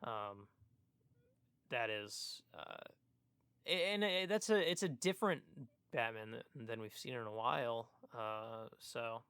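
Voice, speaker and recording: A man's voice: medium pitch, slow pace, a bit bright, smooth, dry recording. A man co-hosting a casual podcast, hesitant and thinking aloud as he talks. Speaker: podcast co-host.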